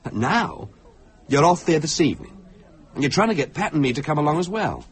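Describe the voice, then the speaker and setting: A paternal, cynical British husband in a radio play. His speech swings widely in pitch as he cajoles and insists, always sure he knows better. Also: sing-song, brisk. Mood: scornful, sceptical. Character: fussy, paternal, coaxing husband, sceptical and cynical. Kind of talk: British radio drama acting.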